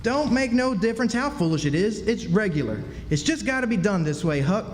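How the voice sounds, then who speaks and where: A man's voice: high-pitched, sing-song, fairly quick, slight background noise. An actor playing a bossy, fanciful American boy in a live radio drama, speaking insistently in emphatic folksy dialect. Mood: stubborn. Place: historic club hall, live performance. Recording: echoey hall stage, faint music.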